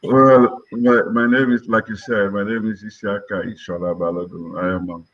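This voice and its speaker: A man in his sixties with a Nigerian accent, from Lagos, giving measured answers as an interview guest on a muffled recording. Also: lowish voice, steady tone, fairly bright tone. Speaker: interview guest, politician and broadcaster.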